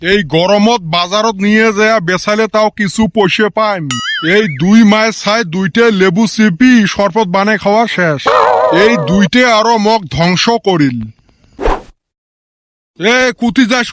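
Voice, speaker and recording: A man's voice: high voice, unhurried, a bit bright, a little hiss or hum. A man voicing a grumbling, penny-pinching poor villager in a comic cartoon, exasperated with the household.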